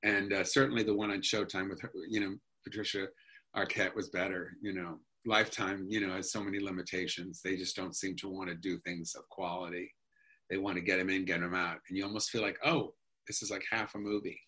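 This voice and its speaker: Bright-voiced man, low-pitched and rambling. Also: flat delivery, fairly quick, breathy edge. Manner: rambling, enthusiastic, many restarts and fillers. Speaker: actor guest. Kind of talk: casual interview talk.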